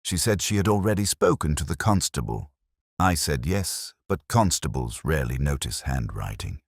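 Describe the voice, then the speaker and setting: A man reading a scripted first-person detective story in a measured, reflective, literary manner. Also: rumbling low, very animated, husky, no echo, studio quality. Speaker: narrator.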